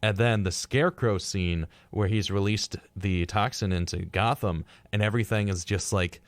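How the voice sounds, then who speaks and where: A man's voice: lowish voice, normal speed, no room sound. A man co-hosting a casual pop-culture discussion, speaking as an earnest, opinionated fan. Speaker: podcast co-host.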